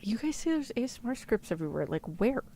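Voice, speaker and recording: A woman's voice: on the high side, sing-song, fast talker, warm tone, slight background noise. A woman streamer chatting casually and playfully, full of incredulous exclamations and mild swearing. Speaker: VTuber streamer and ASMR roleplayer. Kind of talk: casual livestream chat.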